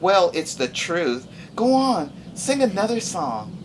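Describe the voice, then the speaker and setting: A woman's voice: fairly low pitch, very animated, slow, a little hiss or hum, voice pushed up, low-quality recording. A woman voicing exuberant, showy young garden flowers in a storybook, gushing playfully and slipping into sing-song. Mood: excited, admiring.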